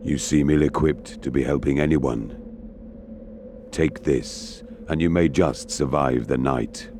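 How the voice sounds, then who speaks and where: A proud game warrior king with an extremely deep voice. He speaks slowly and with stern, scornful command, brooding, over clear background ambience. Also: a little mellow, slight background noise. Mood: brooding, grave. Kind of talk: video game cutscene acting.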